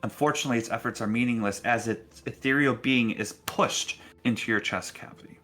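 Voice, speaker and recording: A man narrating a tabletop game as game master in a deliberate, suspenseful, dramatic style. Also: mid-range voice, a bit bright, soft background music.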